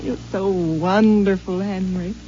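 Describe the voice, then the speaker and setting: A woman's voice: medium pitch, steady tone, takes it slowly, dark tone, close to an everyday voice. An actress playing a sweet, adoring young wife eager to please her husband, in 1950s radio drama style. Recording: dull old radio broadcast with hiss.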